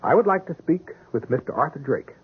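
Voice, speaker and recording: An actor playing a patient, dogged police sergeant in a radio drama, questioning politely and persistently. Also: male voice, fairly high pitch, lively intonation, a little fast, breathy edge. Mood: polite, firm. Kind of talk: 1970s radio drama acting. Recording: tinny, noisy old radio recording.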